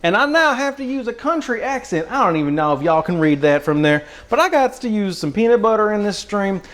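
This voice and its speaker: A man putting on an American Southern country accent as a goofy, excitable countryman, rambling casually to a livestream chat in a folksy drawl. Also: high voice, fairly quick, pitched above the performer's own voice. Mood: grateful, chatty. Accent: put-on American country, Southern. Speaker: live streamer cooking for chat.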